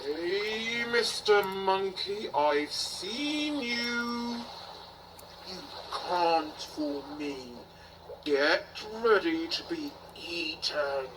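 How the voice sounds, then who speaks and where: A woman voicing a hungry, menacing storybook tiger who taunts his prey with drawn-out threats. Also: mid-range voice, expressive, recorded from a distance, background noise.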